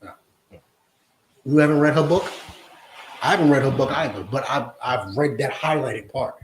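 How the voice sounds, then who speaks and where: A man preaching a forceful lesson to a class, often asking if they understand. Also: mid-range voice, moderate pace, slight background noise. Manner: forceful, repeats "you understand?". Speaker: religious teacher. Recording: echoey room.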